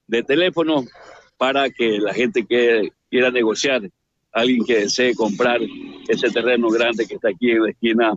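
A man's voice: mid-range voice, no room sound. Brief: radio street reporter, 60s man, chatty and rambling, dull dry sound. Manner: rambling, chatty, addresses studio partner. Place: outdoors on a city street.

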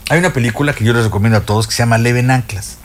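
A man's voice: medium pitch, very fast, a little hiss or hum. An older man with a Mexican accent, chatting as a music expert on a radio show, telling stories with many fillers and restarts. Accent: Mexican Spanish. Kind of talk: casual radio chat. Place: radio studio.

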